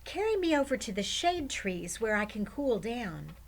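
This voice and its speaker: A woman's voice: on the high side, big pitch swings, normal speed, gravelly, full character voice. A woman voicing a clever female turtle in a folktale, coaxing and persuasive. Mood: tired, reassuring.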